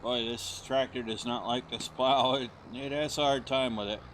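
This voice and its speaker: A middle-aged man from the United States with a hoarse voice still recovering, talking at an average pitch. He rambles in a friendly, unhurried way, full of fillers and restarts, as he plays through a game. Faint noise and game engine sounds sit behind him. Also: steady tone, a bit bright. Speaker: gaming channel host. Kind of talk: casual let's-play commentary.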